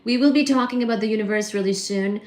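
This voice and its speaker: A woman's voice: mid-range voice, quick pace, a little mellow, echoey room. A woman from Kolkata with Bengali as her native language and an accent that mixes Indian and American, chatting casually with viewers on a livestream. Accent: mixed Indian and American. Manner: chatty, answers viewers, asides and fillers. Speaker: livestream host. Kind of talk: casual livestream chat reading comments.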